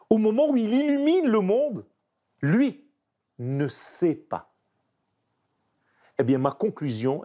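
A man who is not a native French speaker, giving a reflective spiritual talk in an interview. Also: dark tone. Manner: slow, emphatic, with wide pitch swings.

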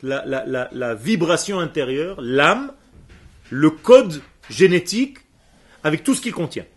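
A man's voice: fairly high pitch, big pitch swings, slightly rough. A man who grew up speaking Hebrew, teaching a class emphatically and firing questions at his students. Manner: emphatic, asks the room questions, answers students quickly. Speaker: religious teacher.

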